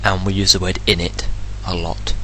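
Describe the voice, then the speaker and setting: A man's voice: sits low, flat delivery, normal speed, sharp tone, slight background noise. An English man ranting indignantly and bluntly in a solo vlog, swearing freely. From England. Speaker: vlogger.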